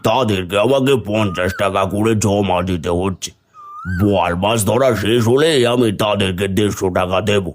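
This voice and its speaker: A man's voice: on the low side, normal speed, slight background noise, lightly acted. A man voicing a stern, grasping, bossy village moneylender in a cartoon. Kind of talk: Bengali moral-story cartoon dubbing. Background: background music.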